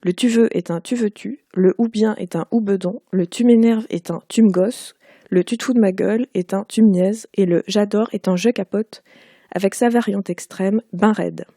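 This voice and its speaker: Warm-voiced woman reading her prose. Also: mid-range voice, fairly even intonation, no room sound, studio quality. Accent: Parisian French. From Quebec. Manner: measured, unhurried literary reading. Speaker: author. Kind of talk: reading aloud from her own book.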